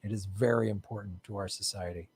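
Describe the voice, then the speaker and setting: A man hosting a live political show from a studio with bright lights, chatting casually and joking in a playful, self-mocking way. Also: lowish voice, steady tone, fast, husky. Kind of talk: casual livestream chat.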